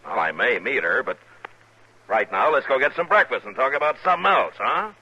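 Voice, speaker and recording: An actor playing a stoic, gruff American frontier marshal with a dry, terse, husky delivery in a western radio drama. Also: male voice, medium pitch, animated, brisk, close to an everyday voice. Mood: exasperated. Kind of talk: 1950s western radio acting. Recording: muffled old radio broadcast.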